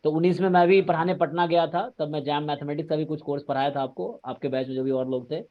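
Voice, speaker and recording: A man with a somewhat high voice leads the interview over a video call, explaining things in an animated, talkative way. The audio sounds dull and lo-fi. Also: quick pace. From Bihar. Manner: animated, explains in a mix of Hindi and English. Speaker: interviewer and coaching teacher. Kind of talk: casual conversational interview.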